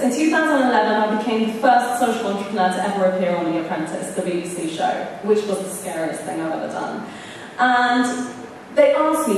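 A woman's voice: fairly high pitch, slight background noise. A young adult woman from Iran giving an earnest acceptance speech at a podium, stressing key words as she tells her story. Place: large hall, on stage at a podium. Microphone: podium mic, camera far off. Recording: echoey hall recorded from far off.

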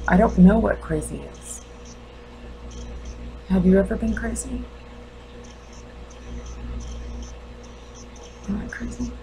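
A woman playing a grieving, defensive mother in a dramatic monologue, halting and intense. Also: medium pitch, mellow, a little hiss or hum, voice pushed up, natural voice, poor audio. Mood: guarded, challenging. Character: grieving, defensive mother confronting her doctor.

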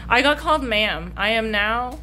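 A young woman vlogging after a convention day, tired and a little hoarse, rambling and laughing often with casual swearing. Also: a little high, animated, moderate pace, bright, forceful tone. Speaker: artist vlogger. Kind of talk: casual vlog chat. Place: hotel room and convention hall.

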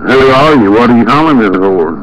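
A man's voice: mid-range voice, fairly quick. A man making a prank phone call, complaining in a folksy, rambling, repetitive way with frequent exclamations. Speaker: prank caller. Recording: noisy phone prank recording, steady background noise.

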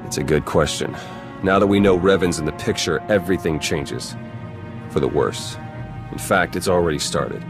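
A man's voice: deep voice, moderate pace, over music. A man playing a pragmatic spy ally in a video game, plain-spoken, dry and conversational. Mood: sober. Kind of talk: naturalistic video game acting.

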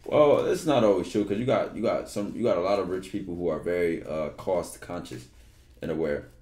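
A young man streaming from his bedroom on a headset, reading a book aloud with relaxed, slangy asides. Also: deep voice, a little fast, reverberant. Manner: relaxed, slangy, loud outbursts when joking.

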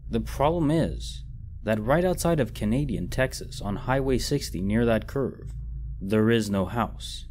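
A man narrating as a storyteller and host in a measured, reflective manner. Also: medium pitch, expressive, a little mellow, slight background noise. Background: background music.

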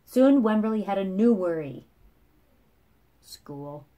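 A woman in her thirties reading a picture book aloud to children with expressive, dramatic phrasing. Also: mid-range voice, slow. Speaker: children's story reader. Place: small room at home, indoors.

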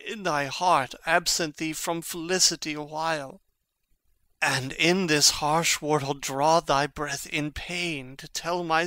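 A man acting a dying young prince in a classical tragedy, declaiming in a halting, anguished and urgent way. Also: a little high, pushed and bright, smooth, dry recording, full character voice. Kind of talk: classical stage tragedy, dramatic audiobook reading.

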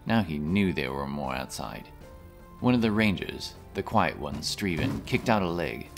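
A man with a very low voice narrates a fantasy tale in storybook fashion. Soft music plays faintly beneath his scripted reading. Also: expressive, measured pace, gravelly. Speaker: audiobook narrator.

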